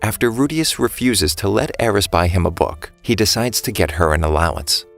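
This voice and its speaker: A man narrates a scripted story recap in a notably deep voice. There is a creaky, gravelly grain to his delivery, and soft music plays faintly behind him. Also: expressive, moderate pace.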